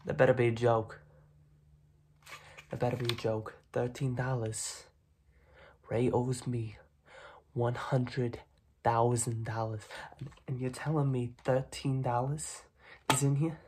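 A young man voicing a gruff, dismissive, streetwise mob boss in a soft-spoken ASMR roleplay, breathy and clipped. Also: medium pitch, fairly even intonation, a little hiss or hum, natural voice. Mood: incredulous, scornful. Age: young adult. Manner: slow, clipped tough-guy talk with repeated phrases. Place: small dim room at home, indoors.

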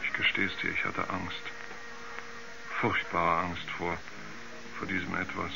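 An actor playing a haunted, frightened astronaut, halting and dazed as he searches for words in a seventies radio play. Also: sits low, completely flat delivery, creaky, full character voice. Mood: haunted, fearful. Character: haunted, frightened male astronaut recounting a moon ordeal. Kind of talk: 1970s German radio drama acting. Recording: dull vintage radio recording, music underneath.

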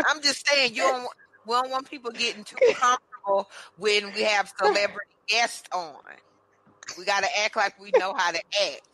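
A woman's voice: medium pitch, normal speed, sharp tone, poor audio. A woman hosting a casual radio talk show, playful and chatty, reading out listener comments as she goes. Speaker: host.

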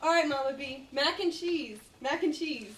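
High-pitched woman, far from the mic. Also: normal speed, fairly bright tone. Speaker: actor at a table read. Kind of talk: reading film dialogue aloud. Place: meeting room with a conference table. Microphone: distant camera microphone. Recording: roomy, distant camera mic, background noise.

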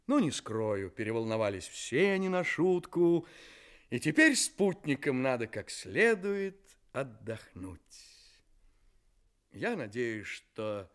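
A man narrating a children's radio play, confiding in his young listeners as a storyteller. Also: mid-range voice.

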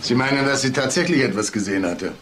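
A man's voice: mid-range voice, fast talker, fairly bright tone, a little hiss or hum, lightly acted. An actor playing an eager, inquisitive male junior police investigator in a German crime radio drama. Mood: questioning.

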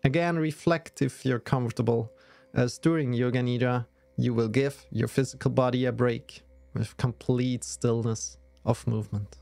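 A man leading a guided yoga nidra meditation in a soothing, measured way with long pauses. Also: medium pitch, fairly even intonation, creaky, a little mellow, no room sound, faint music behind.

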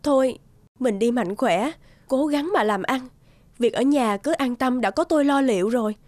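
A woman voicing a caring, worried peasant wife in an animated folk tale. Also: high voice, normal speed, no room sound, lightly acted. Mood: tender. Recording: music behind the voice.

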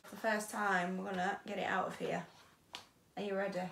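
Bright-voiced young British woman, roomy sound. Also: mid-range voice, little pitch movement, a little fast, creaky. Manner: playful, informal. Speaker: vlog host. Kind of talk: chatty unboxing vlog. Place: small home bedroom, indoors. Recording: roomy, distant mic, audible noise.

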